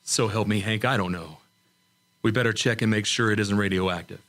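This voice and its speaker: A man's voice: lowish voice, steady tone, a little fast, a bit bright, slight background noise. A man acting a shaken, earnest test pilot in a radio drama. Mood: shaken, subdued.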